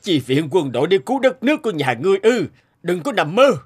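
A man's voice: high voice, very animated, fast, husky, soft background music, full character voice. A man voicing an arrogant, scheming king in a fairy-tale cartoon, scornful and pompous. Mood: scornful, gloating.

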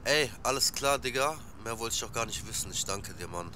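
A man's voice: mid-range voice, animated, brisk, pushed and bright, slight background noise. A man playing a lazy, hungover young slacker, speaking slangy, slouchy audio drama dialogue. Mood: casual, chummy.

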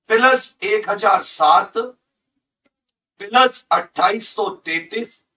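High-pitched male teacher, bright voice. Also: far from the mic. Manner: emphatic, repeats figures and points for the students. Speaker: online coaching teacher. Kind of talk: instructional lesson explaining job vacancy notices. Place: indoor teaching studio with large digital board.

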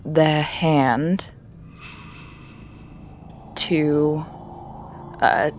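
Slow-talking woman playing a tabletop game. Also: low voice, fairly even intonation, slight background noise. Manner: halting, thinking aloud while describing actions. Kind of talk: casual tabletop role-play.

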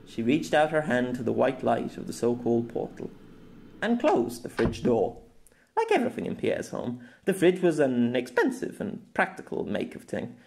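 A man reading an audiobook story in a measured storytelling manner. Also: mid-range voice, gravelly, darkish tone. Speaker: audiobook narrator. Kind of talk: scripted narration.

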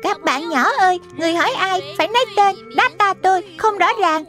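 Tiny cartoon sprite teaching kids, super high voice, encouraging, some music behind. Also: female voice, fairly quick, a bit bright. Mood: cheerful teaching. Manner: childlike, instructive. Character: small, encouraging lion-dance sprite guiding children. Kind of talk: dubbed children's cartoon acting.

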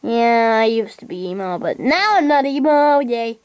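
A woman's voice: a little high, lively intonation, normal speed, clean tone, close to an everyday voice. A woman voicing a laid-back, mildly exasperated young guy with a casual, dry manner in an amateur comic skit. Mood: offhand.

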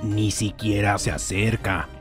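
A man's voice: lowish voice, level tone, unhurried, soft background music, natural voice. A man voicing a sardonic sidekick with a dry, teasing delivery.

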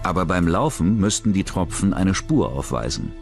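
A man's voice: sits low, big pitch swings, moderate pace, vocal fry. A man narrating a scripted true-crime documentary. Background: background music.

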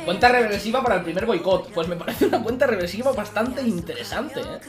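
Excitable young streamer, lively voice. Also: male voice, high voice, fast, music in the background. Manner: excitable, swearing, loud outbursts. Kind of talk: casual live-stream chat. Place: home streaming room.

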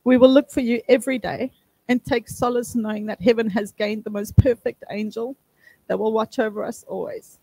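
A woman's voice: on the high side, fairly even intonation, moderate pace, dark tone, slightly rough, dry recording, low-quality recording. A woman speaking with emotion at a memorial service, tender and sighing at times, using a handheld mic in an indoor function room. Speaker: granddaughter giving a eulogy. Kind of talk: memorial eulogy. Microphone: handheld microphone.

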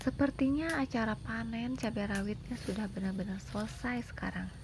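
A woman's voice: on the high side, a little fast. Indonesian woman narrating over outdoor noise. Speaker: gardening vlogger. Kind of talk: narrated home-garden vlog, addressing viewers. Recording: clear background noise, birdsong and outdoor ambience. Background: birdsong, occasional motor vehicles, roosters.

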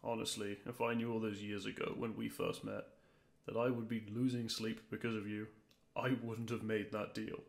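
A man's voice: on the low side, vocal fry. A man playing a grumpy, weary dragon who is secretly fond, speaking to the listener in an exasperated, dry way in an audio roleplay. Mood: weary, annoyed.

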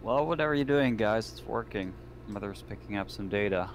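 Lowish-voiced man playing a tabletop game. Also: lively intonation, fairly quick, a little hiss or hum. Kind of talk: casual in-character game chat. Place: indoors, online voice call.

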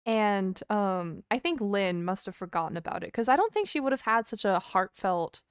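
A woman's voice: mid-range voice, animated, moderate pace, clean tone, no echo, clean studio sound. A woman live streamer chatting casually over a game, rambling and hesitant, swearing now and then. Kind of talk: casual chat, game playthrough commentary. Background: game music.

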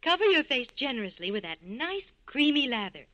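Bright, bossy office girl. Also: sits high, sing-song, a little fast, highs cut off, full character voice. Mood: instructive. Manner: chirpy, advising. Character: brisk, bossy, friendly young office worker. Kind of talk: 1940s radio ad acting.

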